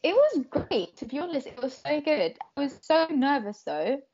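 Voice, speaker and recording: A woman's voice: high-pitched, very animated, moderate pace, a little mellow, a little hiss or hum. Young woman, croatian is her first language, giggles a lot, lots of ums and likes, dull lo-fi podcast sound. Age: young adult. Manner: giggly, laughs often between hesitant, filler-heavy phrases. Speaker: podcast guest, wrestler. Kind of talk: casual interview chat.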